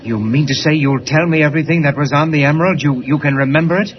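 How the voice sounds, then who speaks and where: Man playing excitable old scholar, noisy vintage radio. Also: on the high side, quick pace, highs cut off, lightly acted. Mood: excited, disbelieving. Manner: stammering, repeats words in astonishment. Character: earnest, excitable older scholar doctor, a father. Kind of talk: 1940s radio drama acting.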